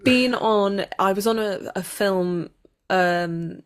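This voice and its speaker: A woman with a mid-range voice in casual conversation as an interview guest. She is animated and jokey, and likes to tell small stories as if they were high drama. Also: takes it slowly, smooth. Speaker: interviewed actor. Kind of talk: casual chat.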